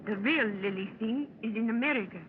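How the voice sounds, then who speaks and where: An actress playing a cool, daring secret agent in 1930s radio style, speaking in measured, fatalistic short sentences. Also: female voice, on the high side, close to an everyday voice. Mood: calm, confiding. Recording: tinny, very noisy 1930s radio recording.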